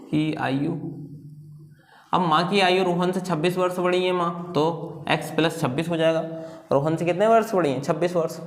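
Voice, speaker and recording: Guy teacher w slightly high voice, explaining step by step, repeats stuff a lot, really echoey room. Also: steady tone, normal speed. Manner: explanatory, repeats phrases and asks himself questions. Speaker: maths teacher. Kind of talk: informal step-by-step lesson.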